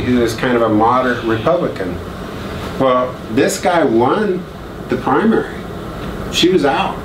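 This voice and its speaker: A man giving a relaxed guest lecture and telling joking anecdotes to an audience. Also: medium pitch. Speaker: guest speaker. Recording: echoey room, distant mic, air-conditioning hum.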